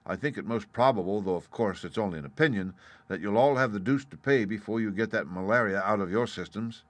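A man voicing a brisk, educated gentleman doctor with a breezy, dryly mocking manner in an audiobook. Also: on the low side, no echo, lightly acted. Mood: scolding. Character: brisk, cheerful, scolding gentleman ship's doctor.

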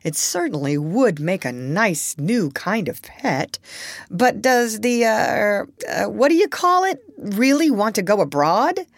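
Woman playing a bemused, kindly gentleman doctor, lively pitch. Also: takes it slowly, no echo, clean studio sound, exaggerated voice. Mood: musing, hesitant. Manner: hesitant, courteous.

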